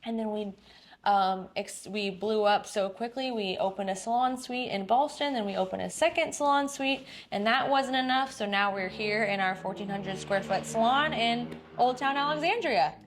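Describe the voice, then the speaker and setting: A woman's voice: on the high side, normal speed, a little hiss or hum. A woman in her thirties from Texas, a salon owner answering interview questions in a chatty, upbeat way with plenty of fillers. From Dallas-Fort Worth, Texas. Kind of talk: casual interview.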